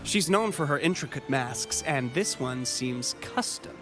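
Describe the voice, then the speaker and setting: An actor voicing an eager, somewhat apologetic man in a video game who captures events on film, speaking in an excitable way. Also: mid-range voice, unhurried, natural voice. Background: game music.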